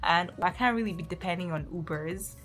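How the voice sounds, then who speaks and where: A young Nigerian woman with a fairly low voice talks straight to her viewers in a loose, chatty vlog. She tells her story in an animated way, with exasperated asides and quick laughs. Faint music plays underneath her voice. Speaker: medical student vlogger.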